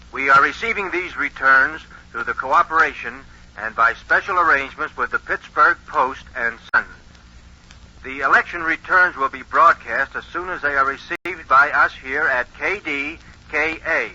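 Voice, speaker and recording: A man playing an earnest, careful radio announcer of 1920, reading formally and deliberately in a 1940s radio re-enactment. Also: fairly high pitch, pushed and bright, heavily acted. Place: radio studio. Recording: dull, lo-fi 1940s radio recording.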